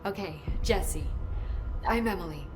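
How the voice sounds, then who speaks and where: An actress playing an eager, brainy research assistant in a video game, chatty and effusive, rambling and halting in turns. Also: female voice, soft background music, natural voice. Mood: earnest, flustered. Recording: clear background rumbling noise.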